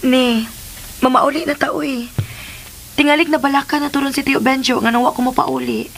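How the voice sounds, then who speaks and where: High-voiced young wife, radio drama. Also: female voice, steady tone, moderate pace, gravelly. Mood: affectionate, teasing.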